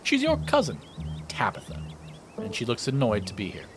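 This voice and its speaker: A man's voice: medium pitch, wildly swooping pitch, normal speed, over music. A man narrating a visual novel playthrough, reading theatrically with casual asides and the odd stumble he corrects. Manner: theatrical read-aloud, stumbles over words and self-corrects. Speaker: let's player reading a visual novel aloud.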